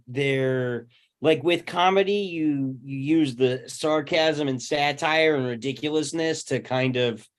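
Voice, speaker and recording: A man in a casual discussion, working through his ideas in a thoughtful, halting way and checking in with listeners. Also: mid-range voice. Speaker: panel participant.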